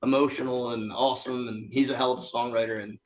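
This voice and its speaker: A raspy-voiced man from a band, joking and swearing in casual podcast chat, often acting out what people said. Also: mid-range voice, level tone, fast, poor audio. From Philadelphia area. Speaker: band member guest.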